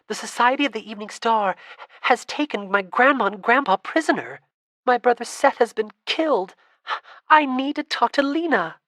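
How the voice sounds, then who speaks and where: A woman's voice: medium pitch, lively intonation, moderate pace, a bit bright, no room sound, pitched above the performer's own voice, natural voice. A woman voicing a brave, stubborn teenage girl who talks earnestly, pleading and then defiant. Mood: urgent.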